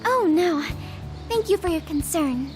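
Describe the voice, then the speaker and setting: Sweet polite rich girl, super high voice, bouncy, music in the back. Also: lively intonation, measured pace. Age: young adult. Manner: soft-spoken and very polite. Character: polite, gentle young woman, a university student and company heir. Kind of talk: video game voice acting. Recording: game cutscene with music behind.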